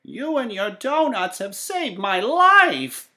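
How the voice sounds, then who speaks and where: Man putting on a high, dramatic old woman. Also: big pitch swings, bright, forceful tone, exaggerated voice. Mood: grateful. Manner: drawn-out, theatrical delivery. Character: sad old woman, frail, dramatic, grateful. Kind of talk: animated storybook character reading.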